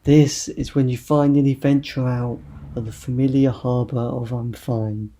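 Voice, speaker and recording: A young man giving a spoken-word poetry reading in a confessional, expressive way. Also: mid-range voice, normal speed, slight background noise. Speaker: poet.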